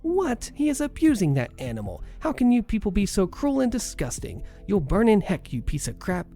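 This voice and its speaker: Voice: wildly swooping pitch, moderate pace, dark tone, faint music behind. A storyteller voicing a rude, entitled middle-aged woman patient who screeches and sneers. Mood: indignant. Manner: shrill, screeching and scornful.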